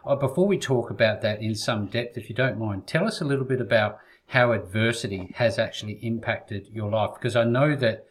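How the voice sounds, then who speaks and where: Male host for a casual interview show, average pitch, supportive and often chiming in briefly. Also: fairly quick, few pauses, husky. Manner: encouraging, frequent short interjections.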